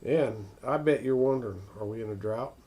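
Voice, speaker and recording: An American man hosting a casual, unscripted weather livestream, thinking aloud in a halting way as he answers viewers. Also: mid-range voice, dark tone. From northern United States.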